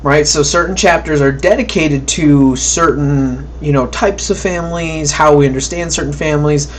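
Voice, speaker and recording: A man's voice: on the high side, a little hiss or hum, poor audio. A man giving a casual, conversational recorded lecture, often checking in with "right?" and acting out quoted thoughts. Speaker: college instructor.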